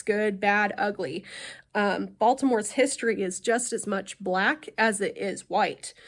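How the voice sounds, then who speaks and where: A young woman with a cold, sounding nasal, reading a class presentation with frequent "um" fillers. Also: mid-range voice. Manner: frequent "um" fillers, measured delivery. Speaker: student presenter.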